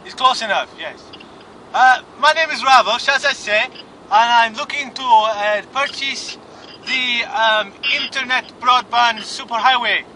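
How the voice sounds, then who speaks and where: A bumbling, dim-witted caller who rambles and plays confused, put on by a man. The voice sits very high and sounds bright and sharp, with a faint hiss behind it. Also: a little slow, exaggerated voice, rough recording. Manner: bumbling, absurd, feigned confusion. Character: dim foreign-sounding male caller Ravosh. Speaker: prank caller.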